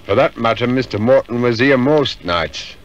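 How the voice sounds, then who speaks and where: Village innkeeper , male actor, northern English accent, mid-pitched, forthcoming and folksy, dull vintage radio recording. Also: animated, moderate pace, lightly acted. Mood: matter-of-fact. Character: plain-spoken male Yorkshire village pub landlord. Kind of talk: 1950s radio drama acting.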